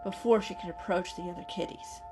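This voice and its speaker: A woman's voice: fairly low pitch, fairly even intonation, a little fast, darkish tone. A woman narrator giving a scripted, dramatic reading of an animal story. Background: music.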